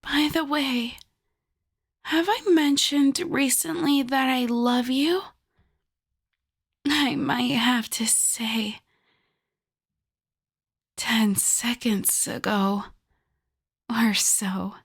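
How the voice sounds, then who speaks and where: A woman voicing a possessive, doting yandere wolf girl in an intimate ASMR roleplay, soft and often whispered, sweet with a menacing edge. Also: a little high, breathy edge, heavily acted. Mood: adoring, dreamy. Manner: slow, cooing, lingering over endearments.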